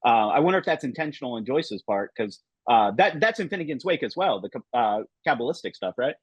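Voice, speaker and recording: A man's voice: mid-range voice, big pitch swings, a little fast, slightly rough, no echo, lo-fi sound. A man hosting a casual roundtable discussion, talking enthusiastically with many fillers. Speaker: host.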